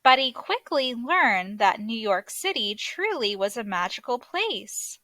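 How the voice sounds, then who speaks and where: Female storybook narrator for kids, fairly high bright voice, unhurried and expressive, close dry sound. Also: no room sound. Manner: expressive, deliberate read-aloud delivery. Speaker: teacher reading a children's story aloud. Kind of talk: scripted storybook narration for children.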